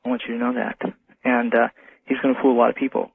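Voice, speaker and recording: A nervous American man calling in to a radio show, speaking earnestly and hesitantly in short halting phrases. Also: mid-range voice, monotone, vocal fry, husky. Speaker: phone-in caller to a radio show. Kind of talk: earnest religious warning in casual phone talk. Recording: muffled narrow telephone line on radio.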